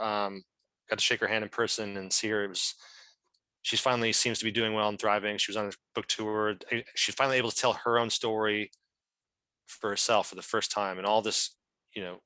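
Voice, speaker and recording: Low, bright-voiced man, halting delivery. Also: dry recording. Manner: halting, hesitant, earnest. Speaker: legal team member as guest speaker. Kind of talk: informal question-and-answer at an activist meeting. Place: online video meeting. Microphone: video call.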